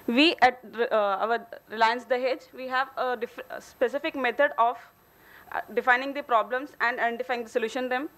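A woman's voice: a little high, moderate pace, a bit bright. A woman with an Indian accent giving a formal technical presentation at a conference. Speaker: company engineer presenting a case study. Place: hotel conference hall, at a podium on stage.

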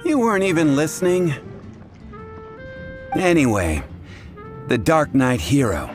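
A man's voice: a little high, huge pitch swings, measured pace. An actor playing a wry, unbothered male bartender telling tales in a video game, in a conversational manner. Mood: exasperated. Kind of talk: video game character acting. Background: music.

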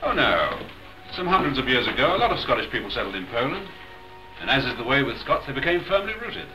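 Guy doing a polite modest polish pilot, crisp english, fast and lively, old 1940s movie sound. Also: mid-range voice, close to an everyday voice, poor audio. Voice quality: crisp, well-spoken English. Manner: modest and courteous. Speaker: Polish Air Force flight lieutenant. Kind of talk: 1940s film acting. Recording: 1940s film soundtrack with music behind.

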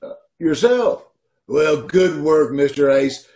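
An actor playing a pompous, sententious company boss who talks like a copybook in an old-time radio comedy, recorded over a video call. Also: male voice, fairly high pitch, animated, slow, darkish tone. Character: pompous, proverb-spouting male company boss.

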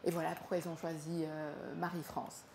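A young woman speaks at a slightly low pitch, picked up from across an echoey gallery room. She talks in halting starts, repeating words and filling pauses, with a faint room hum beneath her. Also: little pitch movement, a little mellow. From Congo, raised in Belgium. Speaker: performer telling her own story. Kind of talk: live spoken performance. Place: small gallery room with an audience.

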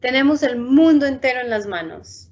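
Uma mulher de uns trinta anos, apresentadora de um programa infantil, lendo um livro ilustrado devagar e com calma numa chamada de vídeo. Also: female voice, high-pitched, brisk. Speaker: outreach storytime host. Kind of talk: bilingual children's book reading. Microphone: laptop webcam on video call. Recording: muffled video call audio.

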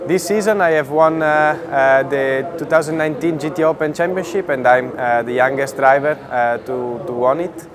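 A man's voice: mid-range voice, moderate pace, hardly any pauses. Young male driver, trackside noise. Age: young adult. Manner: hesitant, fillers. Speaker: racing driver.

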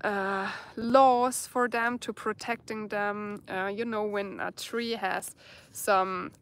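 German woman, 30s, unhurried live-stream host, phone held close outdoors, groping for English words. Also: mid-range voice, steady tone, fairly bright tone. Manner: slow, searching for English words. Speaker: bushcraft vlogger. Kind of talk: live stream chat reading comments. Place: outdoors in a dark forest at night by a campfire.

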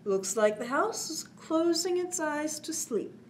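A woman voicing a kindly, playful grandfather in a children's storybook, gentle and reassuring. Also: high voice, expressive, a little slow, a little hiss or hum, voice pushed up. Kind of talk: children's storybook read aloud.

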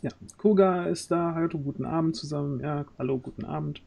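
A man's voice: fairly high pitch, fairly quick, gravelly, darkish tone. A man in his thirties hosting a casual livestream chat in a jovial way, speaking close to a microphone. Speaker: stream host. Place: small dark room at home. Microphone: large microphone close to the mouth.

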